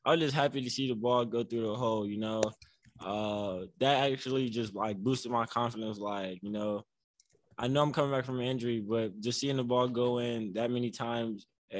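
A young man, a college basketball player, answering reporters at a postgame press conference in an easygoing, soft-spoken way with many fillers, into a table mic. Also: lowish voice, no echo. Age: young adult. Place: press room before a banner. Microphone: table mic on a stand.

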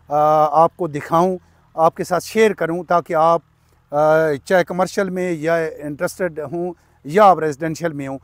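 Persuasive Pakistani man, outdoor pitch. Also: no echo. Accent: Pakistani Urdu. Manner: fluent, persuasive, steady listing of prices with frequent "uh" fillers. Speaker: real estate agent. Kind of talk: site-visit sales pitch to camera. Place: outdoors at an open housing plot, sunny. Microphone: lapel mic.

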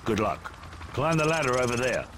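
A man's voice: mid-range voice, lively intonation, moderate pace, background noise, close to an everyday voice. A man playing a blunt, commanding British special forces captain in a military video game. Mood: stern, briefing. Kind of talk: video game dialogue, giving orders.

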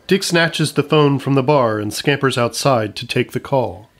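A man's voice: medium pitch, normal speed. A man reading scripted narration for a comedy audio drama. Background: music.

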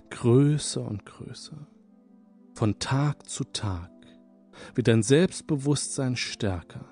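A man guiding listeners through hypnosis at an unhurried, drawn-out pace, his voice calm and sitting at an ordinary pitch. He repeats phrases gently to lull the listener, while soft music plays faintly underneath. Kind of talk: guided radio hypnosis session. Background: soft background music.

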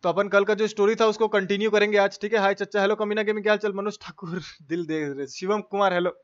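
A man's voice: high voice, fairly even intonation, fast, dull audio. A man hosting a live game stream, chatting casually and slangily as he greets viewers by name.

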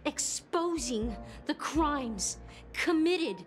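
A woman playing a timid, lovesick young woman in a video game, plaintive and halting, with music behind her. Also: high voice, lively intonation, heavily acted. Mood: earnest. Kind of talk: video game character acting.

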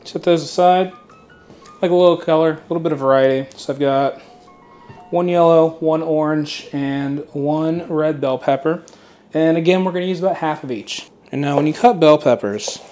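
A man's voice: a little high, moderate pace, faint music behind. Laid-back man teaching a home recipe. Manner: relaxed and chatty, many fillers, mild swearing. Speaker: cooking channel host. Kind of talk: casual cooking tutorial. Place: home kitchen, indoors.

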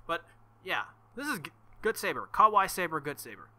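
Bright-voiced man joking over a game. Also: fairly high pitch, brisk. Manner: joking, crude asides, excitable. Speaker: let's-play host. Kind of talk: casual commentary over a visual novel.

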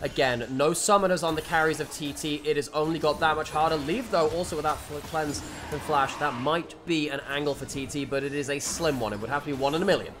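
A man casting a live esports match with lively, punchy play-by-play, his voice a little ropey from overuse. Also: fairly high pitch, fast talker, few pauses, noisy background. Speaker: esports caster. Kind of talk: play-by-play commentary.

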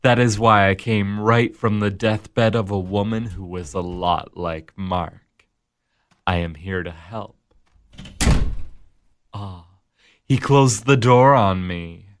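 A slow, mocking Devil. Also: male voice, on the low side. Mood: ominous. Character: the Devil, smooth, amused male tempter. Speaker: narrator and tempter. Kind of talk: radio drama acting.

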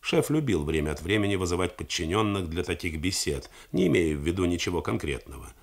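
A man narrating a novel in a measured, theatrical reading style for a 1980s radio broadcast. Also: low voice, very animated, vocal fry. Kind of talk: scripted literary narration.

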